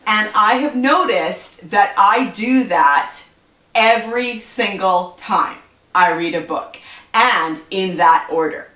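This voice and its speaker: A woman's voice: medium pitch, fairly bright tone. Chatty stuffed-up woman, roomy and distant. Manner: chatty, rambling, frequent fillers. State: stuffed up from allergies. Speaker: book vlogger. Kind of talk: casual chat to camera.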